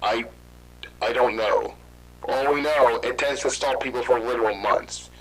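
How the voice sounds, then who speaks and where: An actor playing a calm government interviewer who speaks in a composed, formal way. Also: male voice, medium pitch, normal speed, lightly acted, poor audio. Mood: matter-of-fact. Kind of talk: amateur horror audio drama.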